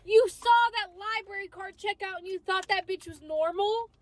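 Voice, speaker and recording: A young woman co-hosting a podcast, reacting loudly and profanely in casual chat. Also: very high-pitched, moderate pace. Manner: loud, profane, reactive, animated. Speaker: podcast co-host.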